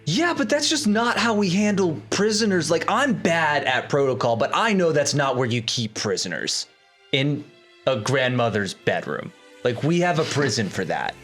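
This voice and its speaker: A man with a fairly high, hugely expressive voice chats as a player in a fantasy tabletop game. He speaks casually and haltingly, doubling back on phrases, with soft music behind him. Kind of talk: casual in-game banter and narrating his character.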